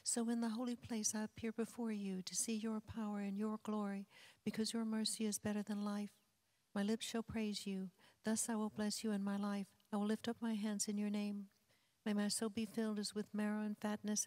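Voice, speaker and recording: A woman reading psalms and doxologies in a flat, even liturgical chant. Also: medium pitch, moderate pace, rough recording. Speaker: liturgical reader.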